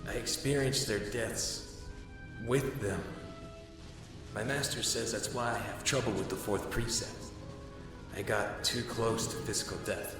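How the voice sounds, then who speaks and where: A man playing a brooding, troubled young Jedi in a comic dub, speaking in a halting way. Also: on the low side, steady tone, recorded from a distance, lightly acted. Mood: haunted, confessional. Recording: cavernous reverb, music underneath.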